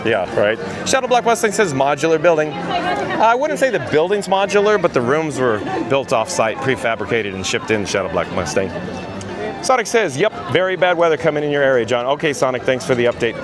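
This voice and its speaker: A hoarse man with a raspy voice hosting a live theme park vlog outdoors, chatting and reading viewer comments aloud. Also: fairly high pitch, sing-song, fairly quick, over music, a crowd in the background. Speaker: livestream host.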